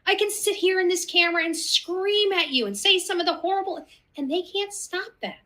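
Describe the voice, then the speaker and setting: A woman with a high, sharp voice talks in a blunt, combative way. Her delivery is a casual, confrontational rant. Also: normal speed.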